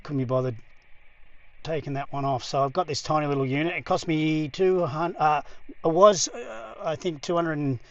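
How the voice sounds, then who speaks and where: A man's voice: a little high, normal speed, a bit bright. A man with an Australian accent chatting casually to viewers as a vlog host, in an easygoing, rambling way. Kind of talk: casual chat to camera. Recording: slightly dull lo-fi audio.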